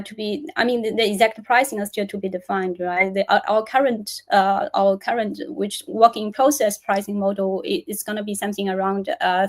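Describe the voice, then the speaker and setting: A woman's voice: medium pitch, darkish tone. Woman presenter explaining a product in Q&A. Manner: repeats words and phrases, often says "right?". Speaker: software vendor presenter answering questions. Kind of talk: live product Q&A, spontaneous explaining.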